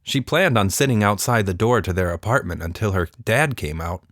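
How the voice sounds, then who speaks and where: A man hosting a children's podcast and telling stories in a playful, mock-serious, unhurried way. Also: on the low side, lively intonation, a bit bright, no room sound, clean studio sound. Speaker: podcast host and storyteller. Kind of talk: children's storytelling, casual and scripted.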